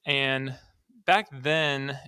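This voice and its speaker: A man's voice: medium pitch, fairly even intonation, very slow, sharp tone, clear, resonant tone, no echo, clean studio sound. A young man speaking as a podcast guest in a casual, conversational, easygoing and modest way. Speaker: podcast guest, startup founder. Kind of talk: casual conversational interview answers.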